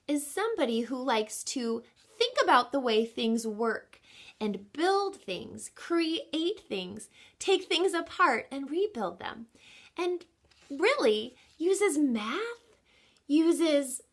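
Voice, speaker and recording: Animated, high-voiced woman reading to children. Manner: encouraging, addresses children with questions and explanations. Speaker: reading teacher reading a picture book aloud. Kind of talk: expressive children's read-aloud with teaching asides.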